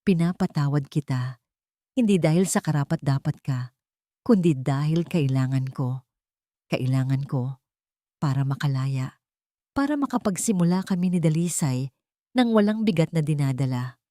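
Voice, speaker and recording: A woman voicing a forgiving but resolute mother and wronged wife, speaking softly and firmly in measured phrases in a romance audio story. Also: low voice, expressive, no echo, clean studio sound. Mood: quietly forgiving. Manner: soft, measured, firm.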